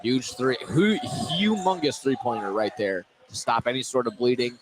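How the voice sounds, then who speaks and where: A man's voice: mid-range voice, moderate pace. A man calling live basketball play-by-play as the announcer. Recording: noisy gym ambience, ball bounces. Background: basketball game in a busy gym.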